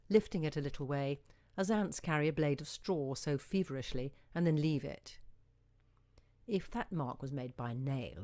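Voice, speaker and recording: A woman reading a short story aloud as an expressive, musing narrator with dramatic emphasis. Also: low-pitched, no room sound, clean studio sound. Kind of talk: expressive literary audiobook reading.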